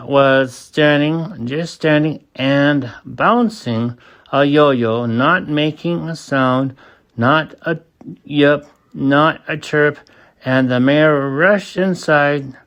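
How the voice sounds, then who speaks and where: A man reading a rhyming children's storybook aloud, in a halting way with small stumbles. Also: fairly high pitch, a bit bright, smooth. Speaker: audiobook reader. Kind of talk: storybook narration of rhyming verse.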